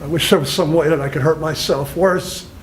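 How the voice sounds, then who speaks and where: A man's voice: on the high side, fairly even intonation, a little fast, close to an everyday voice. Man acting a remorseful boyfriend in a noisy room. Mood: pleading, remorseful. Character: remorseful boyfriend, pleading and self-punishing. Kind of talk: dramatic monologue audition.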